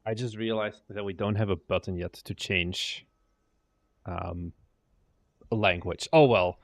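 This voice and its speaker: A young man talks to himself while he works, half-muttering, trailing off mid-thought and tossing in the odd curse. His voice sits a bit low with a gravelly fry. The sound is close and dry. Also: fairly even intonation, a little slow, no echo. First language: Dutch. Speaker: indie game developer streaming. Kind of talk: casual livestream, thinking aloud while working.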